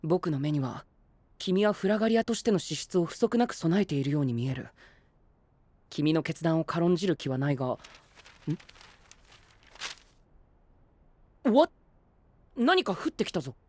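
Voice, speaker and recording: An actor playing a proud, theatrical young gentleman knight with formal, grandiose speech in an anime-style voice drama. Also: a little high, sing-song, fairly quick. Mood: earnest. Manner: formal, grandiose, with exclamations in English.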